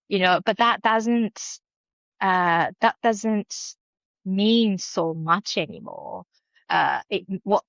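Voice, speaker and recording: A woman with a Japanese accent, a university dean speaking as a podcast guest in a thoughtful, measured, academic way. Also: on the low side, fairly bright tone, no echo, dull audio. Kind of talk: podcast interview.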